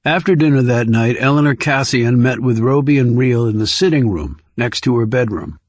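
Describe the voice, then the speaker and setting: A man's voice: medium pitch, animated, moderate pace, no echo. A man reading a scripted thriller novel as an audiobook narrator.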